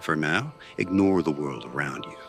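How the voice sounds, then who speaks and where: A man playing a calm, wise older Jedi mentor in a fan audio drama, speaking in a measured, instructive way. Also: a bass voice, heavily acted. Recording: music under the voice.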